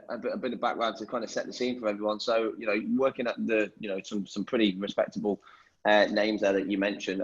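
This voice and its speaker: A man hosting a webinar over a video call, talking in a halting way with many fillers and restarts. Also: fairly low pitch, a bit bright, dull audio. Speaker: webinar host.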